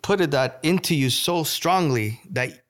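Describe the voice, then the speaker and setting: A man's voice: fairly high pitch, lively intonation, unhurried, fairly bright tone. A man with a Portuguese accent, hosting a conversational interview and relating the guest's story to his own experience. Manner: engaged, sharing own experience, encouraging. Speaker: host.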